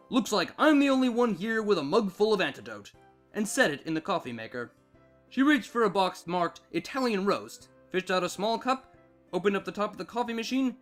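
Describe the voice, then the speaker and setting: A man with a high-pitched voice narrates a story from a script. His delivery is expressive and varied, with music playing underneath. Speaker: fan fiction narrator.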